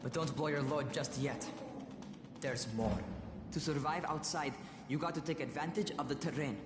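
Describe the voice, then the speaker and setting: An actor voicing a cocky young survivor who coaches in a video game, brash and slangy. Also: male voice, fairly high pitch, normal speed, distant mic, over music, natural voice. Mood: cocky, coaching. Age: young adult. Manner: brash, slangy, barking instructions. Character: cocky young male survivor parkour trainer, teasing. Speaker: parkour trainer character in a video game. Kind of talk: video game dialogue acting.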